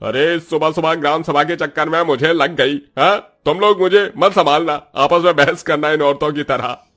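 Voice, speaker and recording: A man voicing a gleeful, excitable male neighbour in a comic cartoon story, with a boisterous, exclaiming manner. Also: a little high, normal speed, pitched above the performer's own voice, lightly acted.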